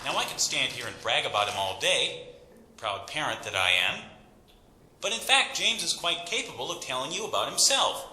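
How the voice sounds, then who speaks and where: An actor playing an awkward, proud young graduate student giving a speech in a radio drama, hesitant and wry. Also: male voice, mid-range voice, very animated, moderate pace, sharp tone. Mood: nervous, proud. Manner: hesitant, wry public speaking. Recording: reverberant hall PA, faint noise.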